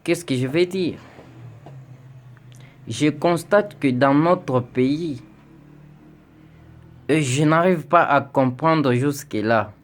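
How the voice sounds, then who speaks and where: A young man from Congo speaking an earnest, pleading video message to his president, with stammered repetitions. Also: mid-range voice. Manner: earnest, pleading, rising into indignation with repeated stammered words. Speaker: citizen addressing the president.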